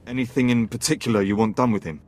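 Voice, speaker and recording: An actor playing a dutiful junior officer in a video game, businesslike and deferential to a superior. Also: male voice, mid-range voice, fast, lightly acted. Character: dutiful male military subordinate officer.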